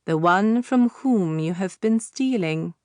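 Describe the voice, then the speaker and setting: A woman reading an audiobook, voicing a sinister, cackling witch in a low, hissing voice. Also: animated, takes it slowly, no echo, clean studio sound. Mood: menacing.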